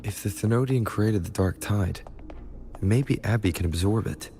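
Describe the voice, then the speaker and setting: Actor as low-voiced, composed male game hero. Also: normal speed, warm tone, faint music behind, lightly acted. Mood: composed, probing. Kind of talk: video game voice acting.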